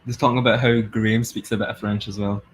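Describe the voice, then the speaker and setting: Excitable young Scottish man, low voice. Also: fast talker, slight background noise. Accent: Scottish, Glasgow area. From Scotland. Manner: excitable, chatty, laughs often. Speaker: live streamer. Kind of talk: casual live-stream commentary.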